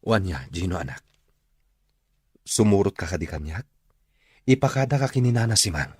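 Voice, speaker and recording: A man's voice: deep voice, lively intonation, normal speed, darkish tone, breathy edge, dry recording, heavily acted. A man playing an earnest, coaxing father in an Ilocano radio drama. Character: earnest father, Danilo, coaxing his child.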